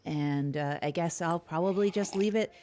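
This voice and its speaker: A woman's voice: on the low side, moderate pace, a little mellow. A woman co-hosting a comedic podcast in improvised chat, with chatty, hesitant asides to the listener. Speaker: podcast co-host.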